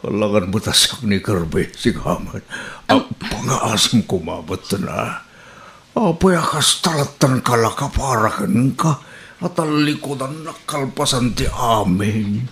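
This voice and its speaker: An actor with an Ilocano Filipino accent playing a flustered, lovesick old widower, blustering and hesitant in a radio comedy drama. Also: male voice, medium pitch, unhurried, a bit bright. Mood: pleading. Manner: hesitant, blustering, comic exclamations.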